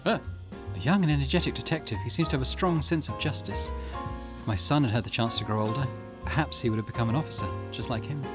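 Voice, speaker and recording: A man's voice: mid-range voice, fast. A man hosting a gaming channel, reading a detective game's dialogue aloud in a let's play, swinging between character lines. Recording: game music playing underneath. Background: game music.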